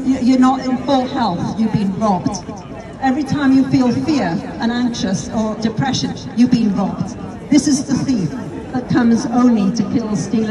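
A middle-aged British woman gives an earnest open-air sermon on a crowded shopping street. She speaks into a handheld mic over a street speaker, heard from some distance, with a steady murmur of shoppers all around. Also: a little high. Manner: earnest, with repeated rhetorical questions. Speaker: street preacher. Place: busy pedestrian shopping street outdoors. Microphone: handheld mic through a street speaker.

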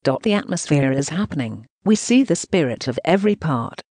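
Brief: synthetic news narration voice, choppy delivery, reads punctuation aloud, animated pitch, dry close recording. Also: brisk, no echo. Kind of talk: synthetic voice reading translated news text.

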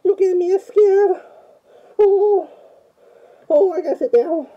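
A woman's voice: very high-pitched, slow pace, dull audio. A woman playing a timid, easily scared Italian plumber man with a put-on Italian accent, rambling nervously through a game review with many "oh"s. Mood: startled. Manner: nervous, rambling, frequent "oh" and "mamma mia". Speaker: fan playing a video game character.

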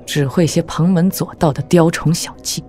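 An imperious wuxia swordswoman played by a woman, cold and aloof. Her voice is lowish for a woman and dark in tone, with music behind it. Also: steady tone, moderate pace, lightly acted. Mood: disdainful. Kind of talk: Chinese audio drama acting.

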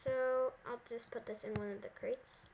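High-pitched female gamer, casual chat. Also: steady tone, normal speed, lo-fi sound, background noise. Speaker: gamer playing a sister character in a roleplay video. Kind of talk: casual gameplay roleplay chat.

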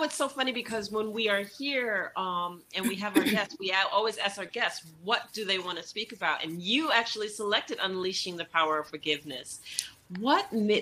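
Bright-voiced woman hosting online. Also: mid-range voice. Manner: welcoming, chatty. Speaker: host. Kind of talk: conversational interview hosting. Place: indoor room, video call. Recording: noisy video call.

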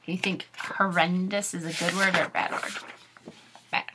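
A woman in her thirties, expressive and instructive, speaking to children as a teacher does in a read-aloud lesson. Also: on the low side, normal speed, heavy background noise. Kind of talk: storybook read-aloud with grammar explanations. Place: small furnished room at home.

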